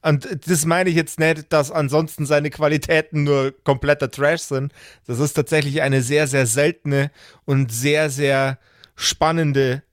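A man's voice: a little high, level tone, a little slow, dry recording. Blunt man, dry close sound. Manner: blunt, sweary, emphatic repetition. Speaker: podcast host and game master. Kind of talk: casual chat with friends.

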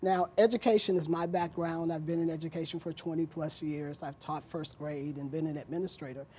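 A middle-aged woman speaks as an interview guest with a husky, slightly low voice. Her tone is dark and mellow, her delivery measured and earnest as she explains. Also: steady tone.

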